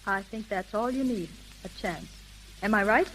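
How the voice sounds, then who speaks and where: A woman's voice: medium pitch, normal speed, natural voice. An actress playing an earnest, resolute young woman who runs a detective agency, in 1930s American radio drama style. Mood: earnest, tender. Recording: sizzling, noisy old 1930s radio recording.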